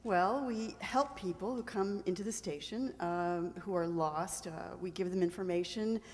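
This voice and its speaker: A woman's voice: fairly low pitch, moderate pace, a little mellow, natural voice. Woman playing a polite aid volunteer, echoey hall. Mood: sincere, polite. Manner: polite, plain, earnest answers. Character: sincere, polite female volunteer travelers' aid worker. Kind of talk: recreated old-time radio quiz show. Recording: echoey hall with long reverb.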